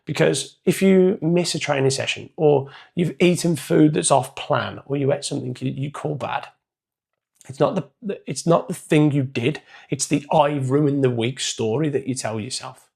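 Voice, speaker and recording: A man speaking in a croaky, hoarse voice that is gravelly and rough at the edges. He sits a bit higher than most men. He gives a loose, chatty motivational coaching talk. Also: normal speed, a little mellow. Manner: casual, chatty, loosely structured. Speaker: performance coach.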